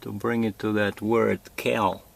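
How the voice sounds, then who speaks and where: Low-voiced, gravelly man giving halting instructions. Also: flat delivery. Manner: halting, improvised, thinking aloud. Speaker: demonstrator giving setup instructions. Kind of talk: informal hands-on tutorial. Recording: clearly noisy amateur recording.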